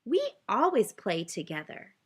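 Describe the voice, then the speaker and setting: A woman's voice: medium pitch, huge pitch swings, a little slow, husky, exaggerated voice. Young male duck, played by a woman, eager, easily confused, surprised exclamations, children's storybook character. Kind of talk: children's storybook read-aloud.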